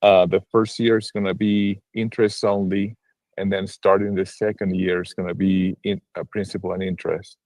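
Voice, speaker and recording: Hesitant low-voiced man from Argentina. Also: little pitch movement, moderate pace, darkish tone, dry recording. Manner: hesitant, many restarts and fillers. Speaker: real estate broker and investor. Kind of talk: casual livestream call-in chat. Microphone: video call.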